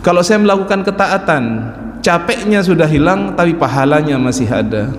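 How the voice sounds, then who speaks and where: A man in his forties giving a calm, explaining religious lecture at a mosque study circle, often adding an affirming "ya". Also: fairly high pitch, brisk, a little hiss or hum. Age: 40s. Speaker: religious teacher. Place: large mosque hall. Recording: echoey mosque hall with faint ambience.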